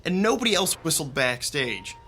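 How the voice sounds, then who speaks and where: An actor playing a friendly, helpful young college stagehand who likes to explain things, in naturalistic radio drama dialogue. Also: male voice, fairly high pitch, big pitch swings, moderate pace, pushed and bright, soft background music, full character voice. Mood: explaining, jovial.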